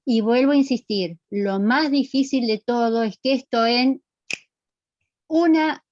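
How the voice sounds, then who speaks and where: A woman's voice: a little high, a little slow, darkish tone, highs cut off, slight background noise. A middle-aged woman with a Rioplatense Argentine accent lecturing to students in a didactic, emphatic way, checking they follow with short questions. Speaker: physical education lecturer.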